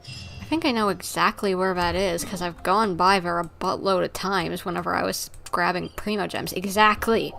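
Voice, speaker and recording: A woman's voice: on the low side, fairly even intonation, normal speed, creaky, a bit bright. A woman streamer giving casual, chatty live commentary on a game, with game ambience and some noise behind her. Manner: chatty, reacting aloud to the game.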